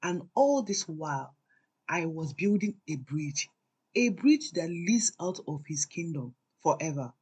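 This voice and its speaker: A woman's voice: sits low, lively intonation, a little slow, a little mellow, breathy edge, exaggerated voice. A woman voicing a long-suffering, defiant wife in a folktale, solemn and conspiratorial. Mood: bitter triumph. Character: Bolanle, grave, defiant, long-suffering secretive Nigerian wife.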